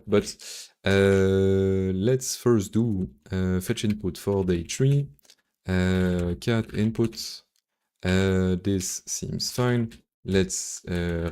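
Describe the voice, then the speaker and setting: A man's voice: low-pitched, warm tone. A man with a French accent, a native French speaker, thinking aloud in casual, hesitant mutters as he live-streams his programming.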